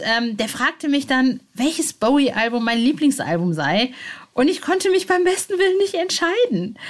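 A woman in her forties hosting a fan podcast, informal and excitable, speaking directly to listeners. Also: a little high. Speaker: podcast host. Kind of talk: chatty fan podcast presenting.

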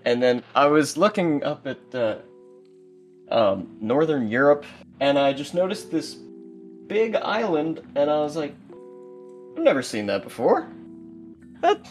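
A man chatting casually as an audio drama creator, acting out remembered exchanges as he tells behind-the-scenes stories. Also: on the high side. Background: guitar music.

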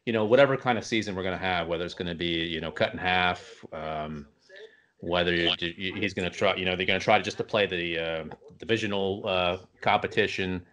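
A man hosting a casual interview, putting his questions in a rambling, halting way. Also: deep voice, fairly bright tone. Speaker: interview host.

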